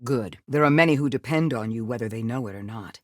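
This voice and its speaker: A woman playing a wise, resolute Jedi mentor and resistance leader in a video game, speaking in a measured, solemn, commanding way. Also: a bass voice, dry recording, clean studio sound. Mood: calm, grave. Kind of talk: dramatic video game character acting.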